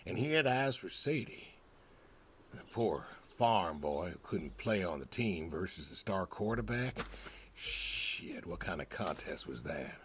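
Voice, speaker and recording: An actor playing a weary, wistful older American pig farmer and war veteran in a video game, talking in a slow, halting, reflective way. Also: male voice, sits low, big pitch swings, fairly bright tone, slight background noise, exaggerated voice. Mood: wry, bitter. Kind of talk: understated naturalistic game acting.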